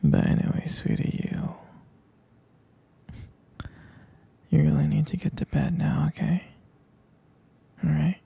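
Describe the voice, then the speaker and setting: A performer playing a tender, doting partner at bedtime in an intimate ASMR roleplay, in a breathy, gravelly whisper with a halting, affectionate delivery. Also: mid-range voice, steady tone, full character voice. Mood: gently insistent. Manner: slow, hushed, halting, affectionate.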